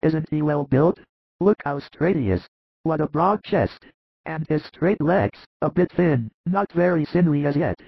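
A man voicing a proud, doting fisherman father in a gravelly, husky voice for a dramatized scripture story. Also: a little high, animated, measured pace, dry recording, muffled recording, pitched above the performer's own voice, heavily acted. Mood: proud, delighted.

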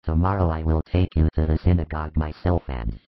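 Man doing a deep, pompous older kinsman. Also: a little fast, no echo. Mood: lecturing. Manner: admonishing, pompous. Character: stern older male kinsman, proud and intolerant. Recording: dull lo-fi recording.

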